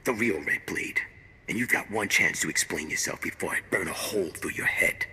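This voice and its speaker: A volatile bounty hunter snarls threats in a low, badly rasping voice with a hard, cutting edge. A long reverb trails the lines. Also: male voice, brisk, bright, forceful tone, exaggerated voice. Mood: menacing. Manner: threatening, contemptuous. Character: menacing scarred male bounty hunter, volatile. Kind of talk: video game cut-scene acting.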